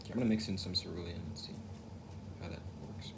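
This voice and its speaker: A man's voice: low-pitched, fairly even intonation, fast, vocal fry. A young man with a stutter chatting casually on a livestream while he paints, rambling and reflective, repeating words and restarting sentences. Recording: noticeable ambient noise.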